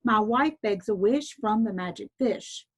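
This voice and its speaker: A woman's voice: medium pitch, expressive, unhurried. A woman reading aloud, voicing a humble, reluctant old fisherman in a storybook tale. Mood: calling out. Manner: timid, pleading.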